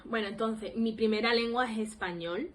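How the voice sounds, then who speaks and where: A woman's voice: fairly high pitch, normal speed. A woman in her twenties from Spain with an Andalusian accent, rambling casually to her camera as she introduces her language vlog. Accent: Andalusian Spanish. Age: 20s. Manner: rambling and self-deprecating, lots of laughs and fillers. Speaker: language learning vlogger.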